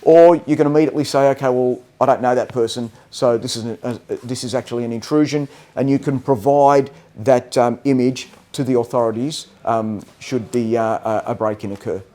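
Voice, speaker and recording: A man presenting technical product training in a halting way, often stopping to check that listeners follow. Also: mid-range voice, steady tone, warm tone.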